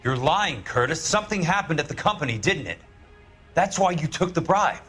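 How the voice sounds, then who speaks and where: An actor playing a tough, sardonic investigator in a 1940s detective game, wry, terse and blunt. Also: male voice, mid-range voice, expressive, moderate pace, a bit bright, husky, faint music behind. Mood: menacing. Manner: wry, terse, hard-boiled.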